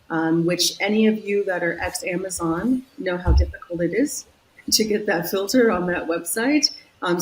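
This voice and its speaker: A woman's voice: medium pitch, low-quality recording. Upbeat Californian woman, fluent guest. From San Diego, California. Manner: upbeat, talks in long enthusiastic runs. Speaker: guest executive. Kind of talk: business podcast interview.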